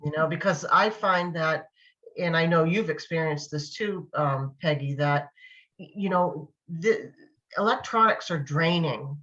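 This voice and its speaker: A woman's voice: deep voice, a little slow, a bit bright, dull audio. A middle-aged woman chatting reflectively as a guest, with a thoughtful, hesitant delivery. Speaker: panel guest. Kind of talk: reflective casual chat.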